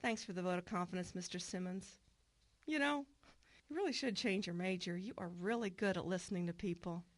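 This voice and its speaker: A woman's voice: lowish voice, brisk, no echo, natural voice. An actress playing a troubled middle-aged woman, earnest and reflective, in a narrated radio drama. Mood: wistful, grateful. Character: troubled, wistful woman returning home to her estranged mother. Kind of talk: radio drama acting with first-person narration. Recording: clear background noise.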